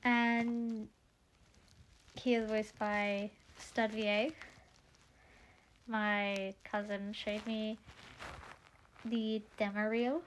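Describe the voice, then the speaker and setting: A young woman with a fairly high voice talks slowly through her cast list late at night, sounding tired and a little croaky. She rambles and stops herself mid-thought in a casual, chatty way. A faint hiss of noise sits under her home mic. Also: rough recording. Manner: chatty, rambling, self-interrupting. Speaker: audio drama creator.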